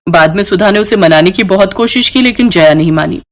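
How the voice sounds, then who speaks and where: A woman's voice: mid-range voice, brisk. A woman narrator reading a scripted moral tale as a storyteller.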